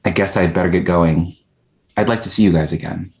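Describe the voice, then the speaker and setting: Casting: a man as a sincere male poet, low-pitched, opinionated yet courteous, for a drama read. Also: steady tone, brisk, gravelly, a little mellow. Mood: friendly. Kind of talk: screenplay table read.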